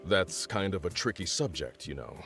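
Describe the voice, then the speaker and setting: A gruff, shrewd army officer from a video game. His voice sits low and moves expressively, with a matter-of-fact way of laying things out. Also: animated, moderate pace, over music. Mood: cautioning.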